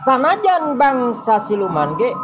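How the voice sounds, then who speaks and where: A man as slow, resigned Bagas, noisy recording. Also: extremely high pitch, sing-song, voice pushed up, full character voice. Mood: resigned reasoning. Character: Bagas, resigned, reasoning human man seeking demon's help. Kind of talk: Sundanese folk-tale storytelling.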